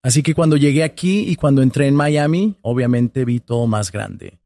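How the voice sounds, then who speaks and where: A man, telling his life story as a podcast guest in a warm, storytelling way. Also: mid-range voice, normal speed, no room sound, clean studio sound. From Colombia. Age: 40s.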